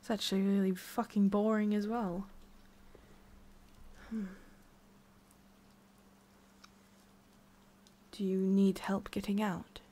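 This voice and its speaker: A woman playing a haughty, prickly witch with a secret soft side in an ASMR roleplay, soft-spoken and halting, slipping into whispery breaths. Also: mid-range voice, flat delivery, natural voice. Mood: condescending, prying.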